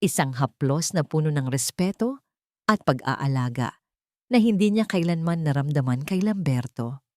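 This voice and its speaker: A woman reading scripted dramatic fiction as a narrator, in a solemn, deliberate way. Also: sits low, expressive, dark tone, dry recording, studio quality. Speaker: story narrator.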